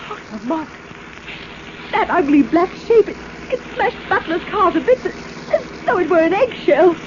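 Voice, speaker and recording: A woman's voice: extremely high pitch, big pitch swings, normal speed. A woman playing a wry, plucky lady sleuth's companion in a 1940s radio drama. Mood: alarmed. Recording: muffled, hissy old radio recording.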